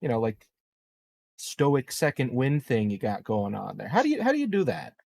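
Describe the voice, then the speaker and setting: A man's voice: medium pitch, big pitch swings, normal speed, gravelly, darkish tone, no echo. Casual man at a game, dry sound. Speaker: tabletop roleplaying game player.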